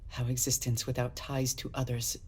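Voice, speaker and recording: A woman voicing a guarded, solemn space explorer from a hard upbringing in a video game, speaking formally and deliberately. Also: rumbling low, flat delivery, a little mellow, slightly rough. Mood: grave, reflective. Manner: earnest, formal diction, deliberate. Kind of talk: measured dramatic game dialogue.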